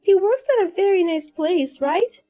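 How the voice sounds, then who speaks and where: A boastful, scheming younger sister, as a woman puts her on speaks in a high, lilting voice that swoops up and down. She teases and gloats in a sing-song way. Soft music plays underneath. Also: lively intonation, moderate pace. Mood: smug.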